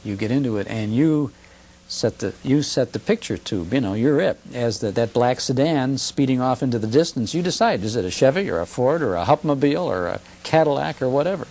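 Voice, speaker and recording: A man's voice: mid-range voice, animated, brisk, a little mellow. An American man in his fifties speaks as an interview guest in fluent, nostalgic storytelling, using short emphatic phrases and rhetorical questions. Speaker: guest, old-time radio broadcaster and enthusiast. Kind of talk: nostalgic conversational interview talk. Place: television studio. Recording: older TV broadcast with faint hiss and hum.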